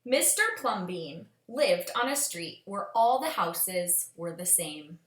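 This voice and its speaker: A woman reading a children's storybook aloud as a storyteller, with expressive, measured phrasing. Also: on the low side. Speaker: storyteller, teacher. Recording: echoey room.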